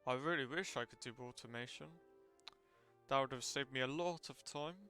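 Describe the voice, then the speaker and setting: A man's voice: mid-range voice, normal speed, bright, forceful tone, soft background music. A man chatting casually while live-streaming a video game.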